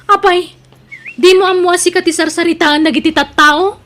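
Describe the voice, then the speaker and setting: A woman plays a quarrelsome, fed-up wife with an Ilocano accent. Her voice is extremely high-pitched, and she scolds and accuses with a sharp tongue in audio drama style. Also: steady tone, moderate pace. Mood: accusing.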